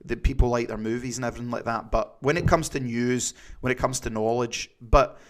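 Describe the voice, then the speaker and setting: A man's voice: medium pitch, fairly even intonation, fairly quick. Chatty Glaswegian man hosting a stream. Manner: chatty, rambling with many fillers. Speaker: livestream host. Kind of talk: casual chat reading viewer comments.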